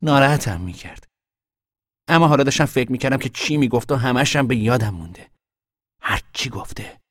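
An actor playing a young man in hiding, talking to his mother in an earnest, quietly stubborn way. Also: mid-range voice, animated, no room sound, studio quality, close to an everyday voice. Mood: reflective. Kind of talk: audio drama acting.

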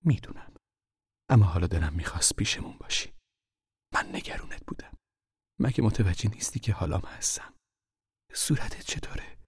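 A man voicing an older mother who fears for her fugitive son, speaking in a hushed, anxious whisper. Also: on the low side, vocal fry, breathy edge, no echo, studio quality, heavily acted. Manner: anxious, pleading, protective.

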